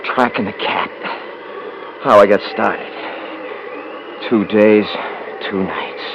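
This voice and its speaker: Gruff, domineering 1950s radio rancher. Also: male voice, fairly low pitch, slow pace, highs cut off. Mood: drowsy, muttering. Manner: folksy Western drawling speech, sneering. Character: domineering, gruff rancher, eldest brother, scornful and hard. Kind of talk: 1950s radio drama acting. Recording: hissy 1950s radio recording.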